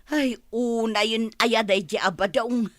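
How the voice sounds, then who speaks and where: An actress with an Ilocano Filipino accent playing a long-suffering, complaining wife in a radio comedy-drama, plaintive and full of exclamations. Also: female voice, fairly high pitch, fairly even intonation, a little slow, dry recording, clean studio sound, natural voice. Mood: fretful, complaining.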